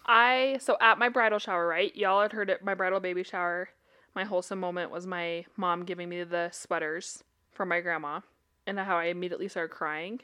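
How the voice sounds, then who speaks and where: A woman's voice: on the low side, steady tone, fairly quick, pushed and bright, clear, resonant tone, lo-fi sound. Blunt, laughing woman podcaster. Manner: blunt, swears, laughs often. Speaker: podcast co-host and therapist. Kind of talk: casual chat.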